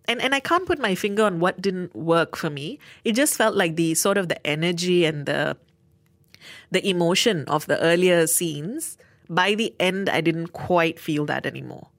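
Woman, low-ish voice, dry close sound, thoughtful reviewer talking over a play. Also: expressive, moderate pace, no echo. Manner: thoughtful, articulate, searching for words. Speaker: theatre reviewer. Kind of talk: casual review discussion.

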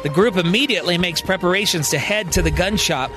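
Higher-voiced male storyteller over soft music. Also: fast talker. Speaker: storyteller, podcast writer and performer. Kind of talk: scripted dramatic narration.

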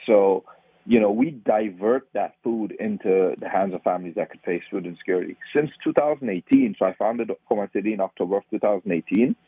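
A man's voice: lowish voice, a little fast, slightly rough, no room sound. A man from Trinidad with a Trinidadian accent, explaining his nonprofit work as an interview guest. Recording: tinny phone-like line, dry.

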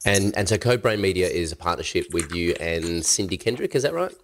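A man's voice: deep voice, animated, fairly quick, slight background noise. A man hosting an interview, sounding nasal while he recovers from a cold, asking questions with hesitant restarts. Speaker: podcast host.

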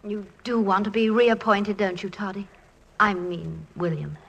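An actress playing a gracious, gently wry college president's wife who coaches students, in 1940s radio comedy style. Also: female voice, mid-range voice, fairly even intonation, measured pace, creaky. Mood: gentle. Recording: 1940s radio broadcast, music underneath.

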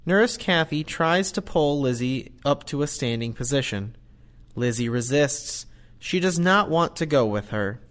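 A man's voice: mid-range voice, expressive, unhurried, fairly bright tone. A man giving scripted narration of stage directions as the narrator of an audio play.

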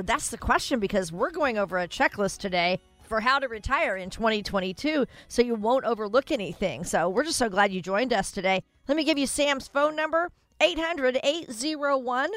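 A woman in her sixties co-hosting a radio show, speaking in a cheerful, upbeat way as she introduces the advisor and asks questions. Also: a little high. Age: 60s. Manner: cheerful, reads out phone numbers slowly. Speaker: radio co-host.

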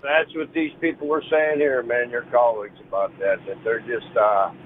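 A man's voice: mid-range voice, fairly even intonation, moderate pace. A man calling in to a sports radio show, casual and chummy, calling the host brother. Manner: casual, says brother a lot. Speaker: caller. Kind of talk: radio call-in chat. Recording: tinny phone line with faint noise.

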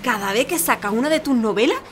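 A friendly, homely Andalusian neighbour woman, played by an actress in a radio play, effusive and civic-minded. Her voice sits a little high, with faint background ambience. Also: lively intonation, quick pace, noisy background, lightly acted. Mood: admiring, effusive. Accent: Andalusian Spanish. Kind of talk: audio fiction acting.

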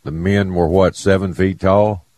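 A deep-voiced man hosts a radio talk show in an easygoing, folksy drawl. The sound is dry and close, with no room around it. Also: flat delivery, unhurried, a little mellow, dry recording. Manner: folksy, drawling, exclaims "boy". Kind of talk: casual radio chat. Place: radio studio.

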